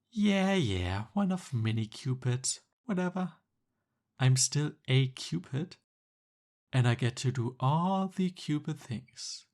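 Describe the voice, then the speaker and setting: A man's voice: medium pitch, creaky, a little mellow, full character voice. A man playing a jealous, exasperated young Cupid best friend in a conversational boyfriend roleplay ASMR. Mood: dry, offhand.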